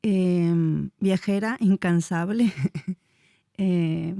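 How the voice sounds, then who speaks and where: A woman's voice: lowish voice, drawn-out words, vocal fry, warm tone, dry recording, clean studio sound. A woman in her forties from Chile, a dance teacher answering interview questions in a searching, hesitant way with many fillers. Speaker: dance teacher and movement researcher.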